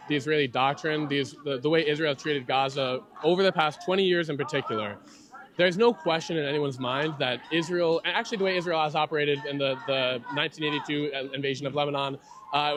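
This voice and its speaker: A man's voice: on the high side, a bit bright, a little hiss or hum. A man giving argumentative interview answers, often restarting his phrases.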